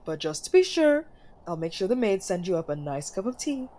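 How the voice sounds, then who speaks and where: A woman voicing a doting queen mother who gently frets over her son, in a motherly ASMR fantasy roleplay style. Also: mid-range voice, sing-song, fairly quick, a little hiss or hum, natural voice. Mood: fond concern. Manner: motherly, solicitous.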